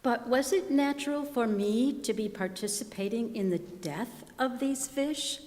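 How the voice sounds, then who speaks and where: A woman's voice: on the high side, measured pace, a little mellow. A woman reading a scripted first-person story aloud as part of a readers theater performance. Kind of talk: scripted first-person narration read aloud to a live gathering. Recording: long echoing hall reverb.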